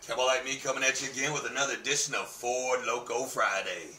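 A man's voice: medium pitch, fast, slight background noise. A man in his thirties vlogging casually from his living room, brash and swearing, his voice worn from losing it. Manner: brash, swearing, animated gestures. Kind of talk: casual profane vlog. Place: living room in a home, indoors. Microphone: webcam on desk. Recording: roomy webcam sound from a distance.

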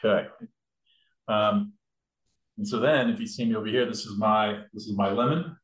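A man's voice: fairly low pitch, fairly even intonation, normal speed, fairly bright tone. A man demonstrating a recipe in a kitchen, explaining each step casually with many fillers and restarts. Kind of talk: casual cooking demo. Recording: echoey room.

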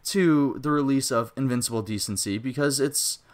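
A man's voice: medium pitch, normal speed, crowd noise. A man interviewing a musician, admiring and effusive, asking long musing questions.

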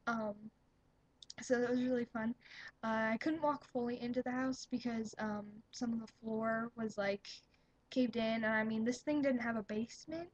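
A young woman with a slightly high, breathy voice chats to her webcam in an upbeat, rambling way. The sound is dry and close, with no room around it. She drifts from one thought to the next, full of fillers and little laughs. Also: steady tone, moderate pace, no room sound, dull audio. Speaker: vlogger. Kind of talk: casual webcam chat.

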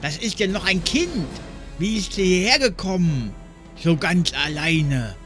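A man playing a steady, helpful young river boatman in a fantasy audio drama, giving short reassuring orders. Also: sits high, unhurried, faint music behind. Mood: calm, focused. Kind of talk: fan-made fantasy audio drama acting.

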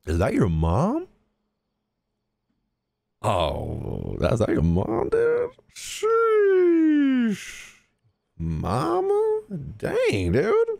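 Young male streamer, very animated delivery. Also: very high voice, very slow, vocal fry, pauses often, no room sound. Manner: jokey, slangy. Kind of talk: casual live game reaction commentary. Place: indoor streaming room.

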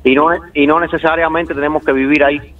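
A man calmly explaining procedures on a call, easygoing, laughing often and repeating himself. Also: on the high side, fast, far from the mic, narrow, tinny audio. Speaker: phone-in guest. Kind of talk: casual explanatory chat.

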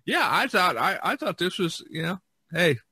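A man's voice: sits high, big pitch swings, normal speed, bright, forceful tone, breathy edge, no room sound. A man hosting a casual unscripted podcast, talking in a rambling way and repeating his phrases. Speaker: podcast host.

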